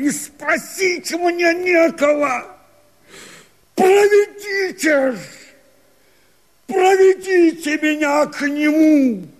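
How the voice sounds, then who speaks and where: An actor playing a desperate, bitter escaped convict and rebel, declaiming verse in a hoarse, strained, theatrical way for a radio drama. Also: male voice, extremely high pitch, full character voice. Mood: desperate pleading. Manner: impassioned, theatrical, drawn-out declamation with sudden outcries.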